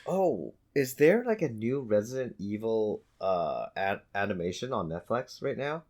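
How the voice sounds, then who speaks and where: A man co-hosting a podcast in casual chat, halting and repeating words for emphasis. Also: fairly low pitch, very animated, darkish tone. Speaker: podcast co-host. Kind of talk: casual chat about video games.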